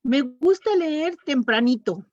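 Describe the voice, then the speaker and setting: Slow-talking woman, echoey room. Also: high-pitched, animated, darkish tone, dull audio. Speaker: panelist talking about reading habits. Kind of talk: casual conversational talk at a round table.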